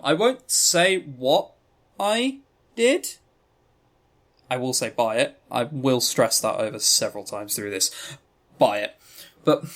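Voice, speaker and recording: A man's voice: medium pitch, fairly bright tone. A man hosting a podcast in casual, rambling review talk, wry and self-deprecating, swearing freely and stressing key words. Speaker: podcast host reviewing visual novels.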